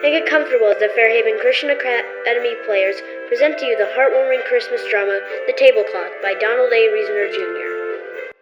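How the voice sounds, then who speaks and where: Casting: a woman for young wife and announcer in vintage-style radio drama, high-pitched, slightly muffled recording. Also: fairly quick, over music, lightly acted. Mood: welcoming. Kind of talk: radio play announcing and acting. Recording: dull lo-fi recording.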